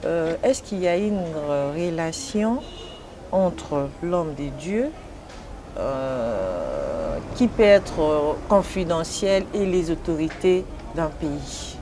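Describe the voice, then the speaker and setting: A woman's voice: sits low, expressive, slow pace. A woman interviewing a pastor, polite and thanking him often, with hesitant fillers between questions. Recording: noisy background.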